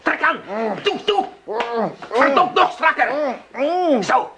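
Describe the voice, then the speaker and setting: A shrill, shouting vengeful farmer. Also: male voice, expressive, takes it slowly, very noisy, heavily acted. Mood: furious triumph. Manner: shouting, gloating, fierce. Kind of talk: radio play acting.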